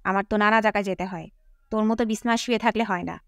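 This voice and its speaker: A woman's voice: medium pitch, fairly even intonation, quick pace, a bit bright, no room sound, clean studio sound, close to an everyday voice. A woman voicing a grave, terse middle-aged father in an audiobook story, with a clipped, commanding manner. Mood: frustrated.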